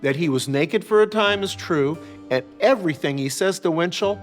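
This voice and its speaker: An older American man giving reflective, explanatory commentary as an author and host, measured and articulate, with parenthetical asides. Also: a little high, soft background music. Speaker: author and series host discussing his novels. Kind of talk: reflective explanatory commentary, semi-scripted narration.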